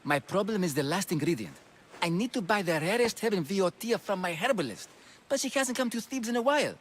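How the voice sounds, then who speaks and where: An actor playing a gushing, lovesick ancient Greek athlete in a video game, fretful and theatrical. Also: male voice, high-pitched, lively intonation, fairly quick, slight background noise. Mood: scheming, besotted. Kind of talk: video game cutscene acting.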